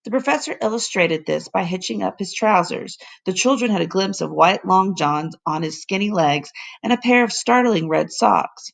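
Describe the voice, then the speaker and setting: A woman narrates a children's storybook in a medium-pitched voice with noticeable creak. Her delivery is deliberate and expressive, like a teacher reading aloud to a class.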